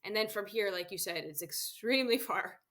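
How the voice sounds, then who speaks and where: A woman's voice: medium pitch, a little fast, fairly bright tone. A woman from Canada, a guest on an interview show, chatting casually about travel and laughing often. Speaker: guest, solo travel expert.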